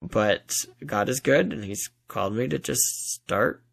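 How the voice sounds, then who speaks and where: A man in his thirties chatting casually as an interview guest, acting out bits of imagined dialogue in an animated, informal way. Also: lowish voice, unhurried, vocal fry. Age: 30s. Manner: animated storytelling, acts out imagined dialogue, frequent "like".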